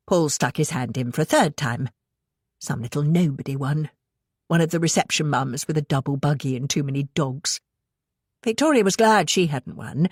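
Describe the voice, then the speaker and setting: British female audiobook narrator, low register, scripted novel reading, very dry close sound. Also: a little mellow, breathy edge, no room sound.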